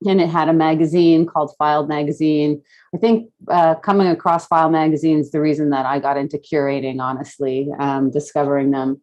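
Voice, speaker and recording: A middle-aged woman with a fairly deep voice talks informally as a guest speaker. She is reflective and roundabout, often pausing to search for the next word as she tells her story. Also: darkish tone. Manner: hesitant, meandering, reflective. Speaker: guest speaker, gallery curator. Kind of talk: casual storytelling in an online talk.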